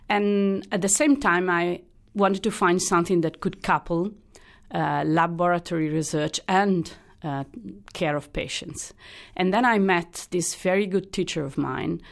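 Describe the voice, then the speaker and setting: A middle-aged woman with an Italian accent, a hematologist guest in a radio interview, speaking thoughtfully with many pauses and fillers. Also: on the low side, creaky. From Italy. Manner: thoughtful, pausing with frequent uh fillers.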